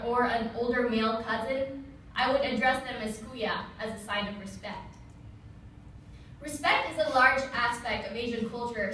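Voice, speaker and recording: Young woman officer, Tagalog speaker, bright voice, reading a speech in a reverberant hall with faint room noise. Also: on the high side, recorded from a distance. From Philippines. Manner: measured, reads from a prepared text. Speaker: Army officer giving an observance speech. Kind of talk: prepared public speech.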